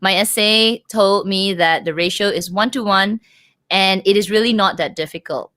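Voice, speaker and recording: A woman's voice: mid-range voice, moderate pace, a bit bright, no room sound, clean studio sound. A woman hosting a casual livestream chat from Malaysia, talking with many likes and restarts and laughing often. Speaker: livestream host.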